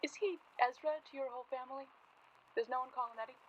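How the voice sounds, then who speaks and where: A woman's voice: high-pitched, fast talker, lo-fi sound, a little hiss or hum, natural voice. A woman playing a guarded, polite young journalist in a naturalistic radio drama, speaking with reserve and some hesitation. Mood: tentative. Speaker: actor in a radio play.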